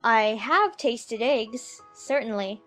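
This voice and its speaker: A woman's voice: on the high side, lively intonation, slow, a bit bright, faint music behind. A woman playing a polite, puzzled little girl who speaks primly in a dramatised audiobook. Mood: earnest. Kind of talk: dramatised audiobook character acting.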